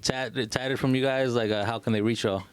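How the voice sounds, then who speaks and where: A man's voice: medium pitch, steady tone, fairly quick, clear, resonant tone, dry recording. A man co-hosting a casual podcast, chiming in and hyping up his guests. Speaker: podcast co-host. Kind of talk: casual chat.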